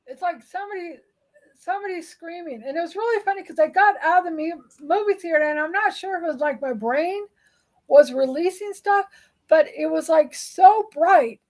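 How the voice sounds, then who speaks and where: A slightly hoarse woman co-hosting a podcast, rambling gently about energy healing. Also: very high voice, moderate pace, rough recording. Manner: rambling, soothing explanations. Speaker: podcast co-host and energy healer. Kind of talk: casual spiritual chat.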